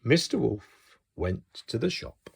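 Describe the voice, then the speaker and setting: Guy reading a kids picture book, takes his time, super expressive, bit of gravel in the voice. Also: medium pitch, takes it slowly. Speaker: children's storyteller.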